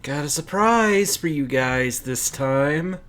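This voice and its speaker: A woman's voice: sits low, animated, slow pace, a bit bright. A woman giving casual gameplay commentary as a let's play host.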